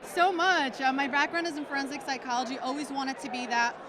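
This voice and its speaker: A woman's voice: sits high, fairly even intonation, fairly quick. A woman from Chicago, a police officer, speaking earnestly and with emphasis in a red carpet interview. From United States, Chicago. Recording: loud crowd and street noise.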